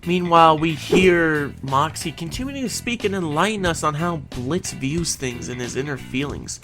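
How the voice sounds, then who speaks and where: A woman's voice: low voice, expressive, moderate pace, over music. A woman co-hosting a fan recap, giving semi-scripted episode commentary in an emphatic, theatrical way.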